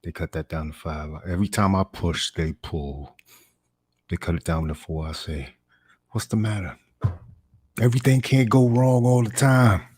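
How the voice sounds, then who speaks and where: A man's voice: deep voice, normal speed, a little mellow, breathy edge. A man performing a stage monologue as an embittered, defiant man determined to get ahead, in an emphatic, repetitive storytelling cadence. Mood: bitter, brooding.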